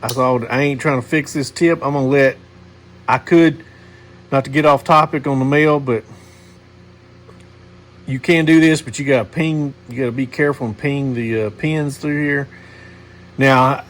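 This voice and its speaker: A man's voice: on the high side, fairly bright tone. A man, hosting a casual knife video and rambling to his viewers with lots of fillers and restarts. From Missouri, USA. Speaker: knife channel host.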